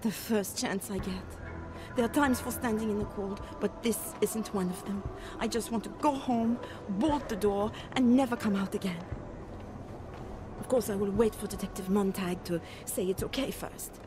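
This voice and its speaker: An actress playing a frightened, guarded witness in a game, speaking formally and precisely, over loud music. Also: female voice, mid-range voice, normal speed, lightly acted. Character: wary, frightened woman witness with a formal manner. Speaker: game character.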